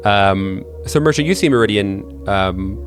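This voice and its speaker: A man working as game master, improvising horror narration in a halting way, often repeating words as he thinks aloud. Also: on the low side, lively intonation.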